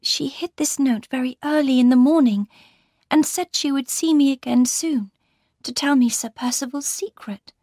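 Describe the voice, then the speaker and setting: Storybook young lady voiced by a woman, high-pitched, anxious, indignant, exclamatory. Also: normal speed, darkish tone, no room sound, studio quality. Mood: anxious, urgent. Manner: distressed, exclamatory. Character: frightened young gentlewoman, emotional and breathlessly indignant.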